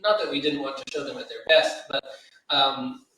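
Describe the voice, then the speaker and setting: Man, medium pitch, informal panel speaker who restarts his sentences, distant echoey live sound. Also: sharp tone. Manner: halting, self-correcting. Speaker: anthology editor and panel speaker. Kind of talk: informal explanation at a public literary event. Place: reverberant library room, live event. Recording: very echoey room, distant mic.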